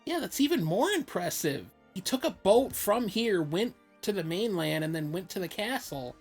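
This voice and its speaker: A woman voicing an awkward, puzzled young adventurer in an improvised comedic fan dub of a fantasy game, speaking casually and haltingly. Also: mid-range voice, big pitch swings, breathy edge. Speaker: amateur live fan-dub voice actor. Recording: background music under the voice.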